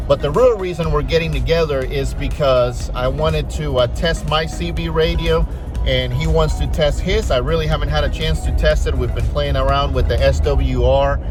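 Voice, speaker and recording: A man hosts a car vlog in an ordinary mid-range voice. He rambles casually and enthusiastically to his viewers. It is captured on a phone, with music clearly audible behind him. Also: mid-range voice. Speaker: channel host. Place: in a car, later outdoor parking lot. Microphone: phone camera mic.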